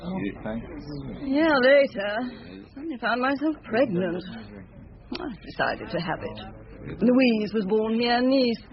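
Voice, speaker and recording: An actress playing a middle-aged, self-absorbed former dancer addicted to gambling, offhand and theatrical in a radio drama. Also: female voice, fairly high pitch, animated, slow, long pauses, crowd noise. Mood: blasé, distracted. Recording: muffled, lo-fi radio drama recording.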